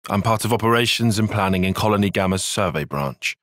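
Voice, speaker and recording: A man playing a young, analytical soldier tactician in a video game, speaking in precise, formal, measured phrases. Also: lowish voice, expressive, a bit bright, no echo, clean studio sound. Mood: analytical, composed. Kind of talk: video game cutscene voice acting.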